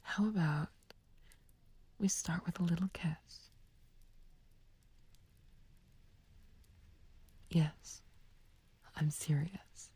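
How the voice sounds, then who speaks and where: A woman playing a flirtatious, taunting villain in an ASMR roleplay, speaking softly and breathily in a teasing, coaxing, languid way. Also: on the low side, fairly even intonation, slow pace, no echo, exaggerated voice. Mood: flirty, coaxing. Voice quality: breathy, whispery, soft. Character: flirtatious, taunting female villain sweet on a captive friend. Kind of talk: ASMR roleplay, intimate scripted acting.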